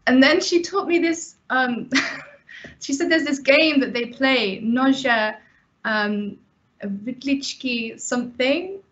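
A woman's voice: sits high, slow, fairly bright tone. A young woman student chatting on a video call, rambling with hesitations and frequent laughter. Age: young adult. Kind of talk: casual chat on a video call. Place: bedroom at home. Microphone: laptop webcam on a video call. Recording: dull lo-fi video call audio.

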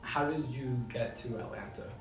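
A man's voice: medium pitch, moderate pace, recorded from a distance, music in the background. A teenage male student asking interview questions in a casual, hesitant way. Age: teens. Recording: very echoey, off-mic with hiss.

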